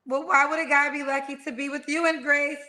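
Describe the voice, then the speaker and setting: A woman hosting a live dating stream with a bright, forward voice pitched a bit high. She fires off questions briskly and echoes every answer back before moving on. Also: little pitch movement. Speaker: host of a dating show.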